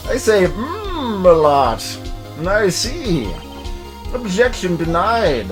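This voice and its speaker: A man's voice: sits high, sing-song, slow pace, voice pushed up, exaggerated voice. A man voicing an old, ponderous courtroom judge in a video game, formal and easily surprised. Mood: solemn ruling. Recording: music playing behind the voice.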